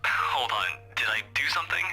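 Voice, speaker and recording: An actor playing a gruff young man, a loyal and concerned childhood friend, in a visual novel game. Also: mid-range voice, big pitch swings, normal speed, sharp tone, faint music behind, full character voice, rough recording. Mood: worried, urgent.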